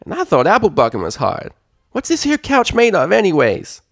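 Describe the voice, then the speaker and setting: A man's voice: sits high, wildly swooping pitch, moderate pace, creaky, fairly bright tone, no echo, studio quality, voice pushed up, full character voice. A man voicing a small, curious and bossy cartoon filly with folksy country talk, in a story reading. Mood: weary complaint.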